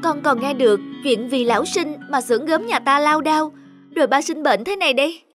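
A woman's voice: high voice, brisk, soft background music, natural voice. A woman voicing an indignant, protective young daughter in a cartoon drama, emphatic and reproachful. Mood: worried reproach.

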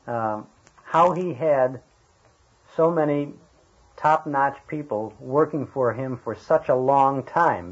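Husky guy interviewer, medium pitch, ums his way thru questions, dull lo-fi sound. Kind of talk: casual interview.

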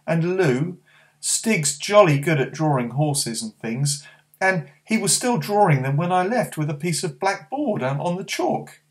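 Storybook boy, earnest and eager, high and tumbling, put on by a male reader. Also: expressive, unhurried.

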